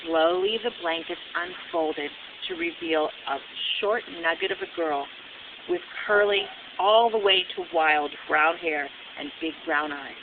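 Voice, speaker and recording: A woman reading her own children's book aloud as narrator, with animated delivery and dramatic emphasis. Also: a little slow. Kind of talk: expressive storybook narration. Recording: phone-like narrow band, loud hiss and rumble.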